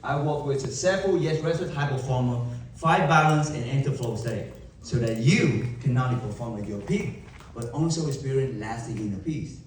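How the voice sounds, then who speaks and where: A young man with a Vietnamese accent giving an emphatic motivational keynote to a live audience, with dramatic pauses and rhetorical questions. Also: medium pitch, a little hiss or hum. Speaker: motivational speaker. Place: large event hall. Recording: echoey hall from far off.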